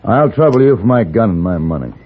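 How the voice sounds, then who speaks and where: An actor playing a cool, wry gunfighter for hire in a 1950s radio Western, speaking in a measured, dry, understated way. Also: male voice, lowish voice, expressive. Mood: firm, demanding. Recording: muffled, thin 1950s radio broadcast.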